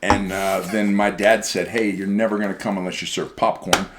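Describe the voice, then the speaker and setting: A middle-aged man co-hosting a casual chat, talking in an animated, chatty way. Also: lowish voice, moderate pace, noisy background. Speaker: podcast co-host.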